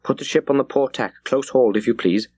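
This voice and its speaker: A man's voice: mid-range voice, fast, a little mellow, slightly rough, no room sound, pitched above the performer's own voice, natural voice. A man performs a commanding naval captain from a historical audiobook. He is curt and decisive, throwing out clipped, urgent orders in a dry, close recording. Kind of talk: dramatised audiobook character.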